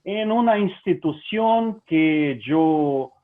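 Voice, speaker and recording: A man's voice: a little high, lively intonation. A man from Brazil speaking Spanish with a Brazilian Portuguese accent, giving a formal lecture and mixing in Portuguese words. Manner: slow, deliberate, mixes Portuguese into Spanish. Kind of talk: formal lecture over video call. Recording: tinny phone-like audio.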